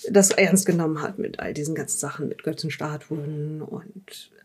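A woman playing a composed, skeptical doctor in an audio drama, questioning in a measured, probing, incredulous way. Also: deep voice, steady tone, a little mellow, breathy edge. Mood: measured, guarded. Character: composed, skeptical female doctor questioning a patient and taking notes. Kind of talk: improvised audio drama dialogue.

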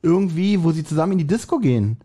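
A man's voice: on the high side, lively intonation, quick pace, dark tone. A man co-hosting a podcast, casually recapping a story and searching for his words as he goes. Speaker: podcast co-host. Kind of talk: casual chat, recapping an audio drama plot.

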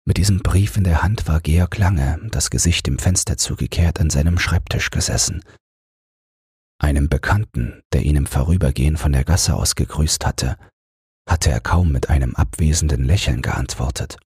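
A man narrating literary prose as a calm, measured bedtime audiobook. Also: rumbling low, slightly rough, no echo, studio quality.

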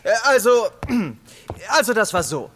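A man's voice: sits high, big pitch swings, measured pace, fairly bright tone, slightly rough, big-room sound, heavily acted. An actor playing an eager, easily flustered boy in a children's audio drama. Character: eager flustered boy adventurer, quick to explain.

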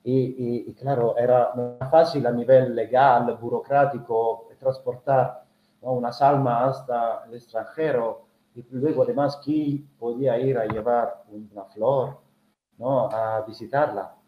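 A man's voice: medium pitch, fairly even intonation, a little slow, slight background noise. Middle-aged Italian-accented man, Italian native, hesitant storyteller, roomy and muffled recording. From Italy. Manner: hesitant, reflective, many filler sounds. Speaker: guest. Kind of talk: personal storytelling in an interview.

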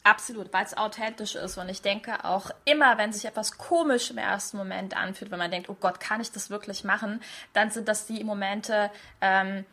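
A woman's voice: mid-range voice, normal speed, pushed and bright. A woman host and coach in conversation, affirming her guest's points and building on them. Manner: affirming, picks up and builds on ideas. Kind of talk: conversational interview.

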